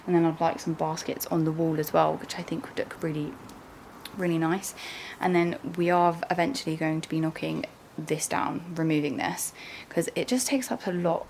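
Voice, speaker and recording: Gravelly-voiced young woman vlogging. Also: low-pitched, normal speed, darkish tone. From England. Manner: chatty and rambling, many fillers like "like". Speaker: lifestyle vlogger. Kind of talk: casual vlog chat to camera. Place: at home and in her garden. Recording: roomy echo with faint ambient noise.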